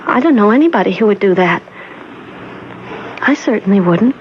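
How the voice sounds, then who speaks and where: A woman's voice: mid-range voice, lively intonation, moderate pace, close to an everyday voice. An actress playing a polite, dreamy, bewildered young woman in an old-time radio drama, innocent and tentative in manner. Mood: puzzled. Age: young adult. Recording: dull, slightly noisy lo-fi radio broadcast.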